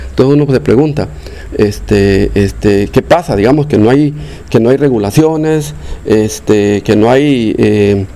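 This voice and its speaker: A man's voice: lowish voice, measured pace, mellow. An older man from Costa Rica with a Costa Rican accent, a local resident interviewed outdoors, speaking with many fillers and restarts. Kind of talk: field interview, casual. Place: outdoors in a neighborhood street.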